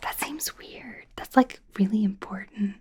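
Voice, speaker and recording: A woman playing a friendly, awkward young werewolf doctor in an ASMR roleplay, speaking in a soft, breathy whisper with hesitant, casual rambling. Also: mid-range voice, unhurried, close to an everyday voice. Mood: caring, curious.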